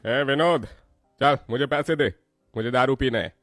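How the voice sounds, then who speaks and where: A man voicing a selfish, demanding drunken older father in a cartoon story, with a gruff manner. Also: mid-range voice, measured pace, fairly bright tone, dry recording, clean studio sound, lightly acted.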